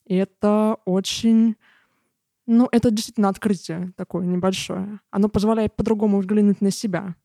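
Warm-voiced woman in her twenties. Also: medium pitch, steady tone, moderate pace, creaky, no echo, clean studio sound. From Russia. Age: 20s. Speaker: guest. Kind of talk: casual conversation.

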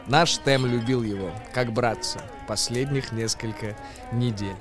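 A man, an actor, narrating a tale in verse for a radio play in an expressive, declamatory way. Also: medium pitch, a little slow, over music.

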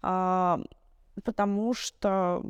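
A woman from Tatarstan whose first language is Tatar, with a clear, resonant voice in a very dry recording. She talks in a thoughtful, articulate way, as in a podcast discussion. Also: lowish voice, steady tone, very slow, no echo. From Tatarstan, Russia. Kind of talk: conversational discussion.

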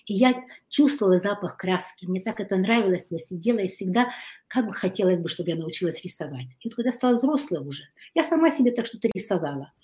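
A woman guest, a life coach and family therapist, talking in a halting way and searching for words, her voice strained after a recent illness. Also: mid-range voice, animated, darkish tone. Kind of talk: casual interview talk.

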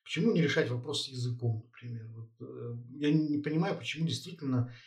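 A man talking quickly and pressing questions in a casual political conversation, with filler words all through. He sits back from the mic, and the room gives his voice an echo. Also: mid-range voice. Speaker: co-host. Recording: echoey, a bit far from the mic.

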